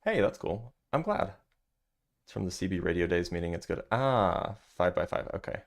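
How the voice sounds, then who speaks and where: A man with a very low, deep voice talks through a scientific paper on a casual livestream. He reads passages aloud, then drifts into loose, filler-heavy asides to his chat. The tone stays relaxed and conversational throughout. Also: moderate pace, creaky. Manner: loose and chatty, many fillers, answers chat. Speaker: livestream host reviewing a physics paper.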